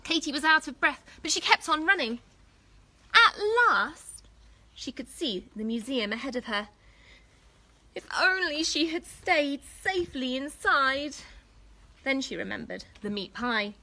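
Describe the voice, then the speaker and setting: A woman reading a storybook aloud for young children in an expressive, deliberate teacherly way. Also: high-pitched. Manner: animated, deliberate, pausing to ask questions.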